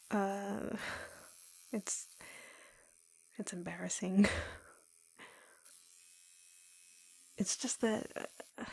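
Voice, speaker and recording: Female performer for yandere girlfriend roleplay: soft, lowish, even-toned, obsessively in love, confiding at close quarters. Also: heavily acted. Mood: bashful, fond. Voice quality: soft, breathy, whispery. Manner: slow, intimate, halting confiding. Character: lovesick, obsessive yandere woman tattoo artist. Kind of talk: intimate girlfriend roleplay audio, POV.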